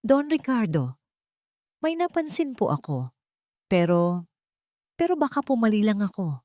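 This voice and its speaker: A woman voicing an elderly female nurse in story dialogue, respectful, fearful and halting. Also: medium pitch, sing-song, warm tone, dry recording, clean studio sound, pitched above the performer's own voice. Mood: fearful, hesitant. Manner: deferential, halting.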